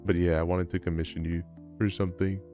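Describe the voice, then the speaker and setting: A man with a low voice chatting casually on a live stream, rambling and self-deprecating. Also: level tone, fast, dark tone. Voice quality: low-pitched voice. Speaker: livestreamer. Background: background music.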